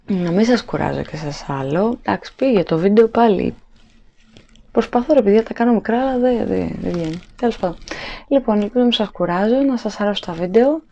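A woman's voice: medium pitch, expressive, gravelly, warm tone, lo-fi sound. A woman in her thirties chatting casually to the camera in her home kitchen at night, rambling with plenty of fillers and restarts. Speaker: vlogger. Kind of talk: casual haul vlog chat.

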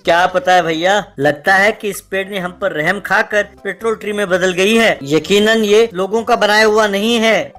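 A man plays an earnest young trucker's helper in a cartoon fable. The voice is very high for a man and sharp. Music plays underneath. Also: steady tone, moderate pace, hardly any pauses. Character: earnest young male truck driver's assistant. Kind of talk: animated story dialogue.

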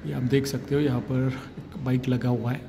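Man, even-pitched, casual property walkthrough on a phone, clear room noise behind. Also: normal speed. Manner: casual, descriptive, pointing things out room by room. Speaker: property tour guide. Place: empty house, walking from room to room.

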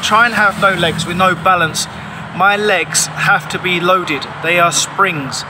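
A man's voice: sits high, unhurried. A man in his forties giving an emphatic, insistent explanation to camera, recorded on a phone outdoors. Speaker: paraglider pilot vlogging. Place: outdoors under a tarpaulin canopy. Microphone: phone at arm's length. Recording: clear outdoor ambient noise.

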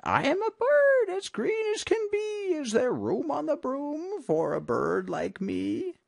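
Man doing a shrill, squeaky little female bird. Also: huge pitch swings, slow pace, gravelly, no echo, clean studio sound, exaggerated voice. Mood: hopeful. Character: eager, polite little female green bird. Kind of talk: storybook character voice.

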